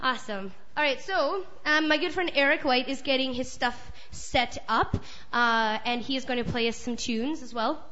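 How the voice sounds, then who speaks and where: A woman with a high, bright voice runs an open mic night, joking around between acts. She talks in a chatty, self-mocking way. The recording is dull and lo-fi. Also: moderate pace. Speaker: open mic guest host. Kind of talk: casual joking stage banter. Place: small live venue on stage.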